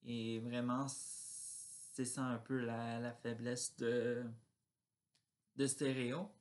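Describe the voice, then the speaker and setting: Slow, halting man. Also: mid-range voice, level tone. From Quebec. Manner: halting, searching for words, trailing off. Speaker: vlogger. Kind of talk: casual rambling vlog chat.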